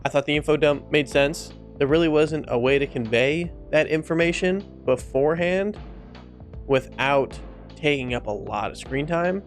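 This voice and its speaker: A man's voice: on the high side, moderate pace, soft background music. Casual, sweary man giving his opinion. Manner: informal, profane, repeats himself for emphasis. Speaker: video essay host. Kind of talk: casual opinion commentary to viewers.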